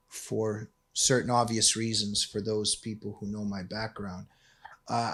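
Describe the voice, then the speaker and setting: A man hosting a talk show, speaking emphatically in deliberate, drawn-out phrases. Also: medium pitch, fairly bright tone. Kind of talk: scripted intro and interview talk.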